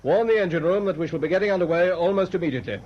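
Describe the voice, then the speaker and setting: A man acting in a radio drama as a calm, decisive British naval captain with a clipped, formal, authoritative manner. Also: high voice, very fast, natural voice. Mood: businesslike. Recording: dull, noisy old radio broadcast.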